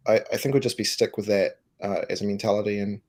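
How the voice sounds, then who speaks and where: Croaky, low-voiced man guest. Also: steady tone, fast, a little mellow. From New Zealand. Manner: emphatic, repeats words, restarts. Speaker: co-founder and co-CEO, guest. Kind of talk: casual interview answers.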